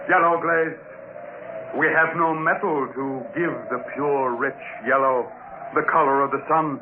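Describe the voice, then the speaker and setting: An actor playing a proud, eerie giant alien chief who speaks solemnly in formal, archaic phrases, in a 1950s radio drama. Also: male voice, a little high, fairly even intonation, unhurried, lightly acted. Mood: solemn, grave. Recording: old muffled radio recording with noise.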